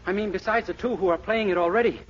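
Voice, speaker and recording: Indignant, naive young boyfriend, old-time radio. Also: male voice, high-pitched, quick pace. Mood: wounded, haughty. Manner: indignant, self-important, mock-formal. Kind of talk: 1940s radio comedy acting. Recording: muffled, noisy 1940s radio recording.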